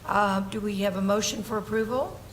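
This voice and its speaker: A woman presides over a formal board meeting, speaking into a desk microphone. Her voice is hoarse and fading, so she clears her throat often. She works through motions and votes in a procedural way, with ums and quick self-corrections. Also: mid-range voice, fairly even intonation, a little hiss or hum, low-quality recording. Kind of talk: formal meeting procedure, reading agenda and script.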